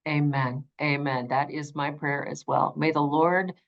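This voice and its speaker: A woman's voice: low voice, steady tone, unhurried, vocal fry, muffled recording. A woman speaking as an audiobook narrator being interviewed, earnest and effusive, repeating phrases for emphasis.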